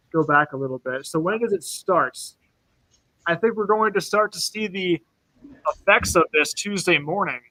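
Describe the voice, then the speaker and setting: A man's voice: sits high, sharp tone, dry recording, rough recording. A man co-hosting a weather stream, walking through forecast maps with some hesitant restarts. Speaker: weather co-host.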